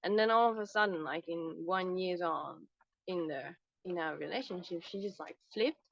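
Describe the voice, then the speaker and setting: A woman from Hungary, speaking as an interview guest on a call, reflective and halting with restarts. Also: on the low side, gravelly, muffled recording. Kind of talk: personal conversation on a video call. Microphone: call microphone.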